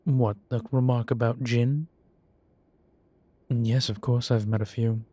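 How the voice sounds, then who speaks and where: A man voicing an urbane demon professor in an audio roleplay, erudite and wryly amused, speaking in a measured, formal way with deliberate pauses. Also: mid-range voice, steady tone, dark tone, no echo. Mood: thoughtful, confiding. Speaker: professor lecturing a class. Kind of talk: scripted audio roleplay, lecture and conversation.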